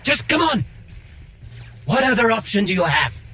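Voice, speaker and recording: A man playing an earnest, quick-thinking rebel worker in a video game, talking informally and persuasively. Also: high-pitched, sing-song, pushed and bright, a little hiss or hum, heavily acted. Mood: urgent. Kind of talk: video game cutscene acting.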